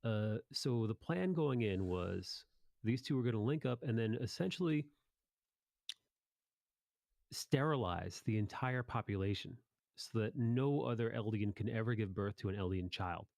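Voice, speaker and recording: Low-voiced man in casual, dry-sounding chat. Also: lively intonation, unhurried, creaky, a little mellow, no echo. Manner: informal, profane, rambling recaps. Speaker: podcast host. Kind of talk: casual chat about anime.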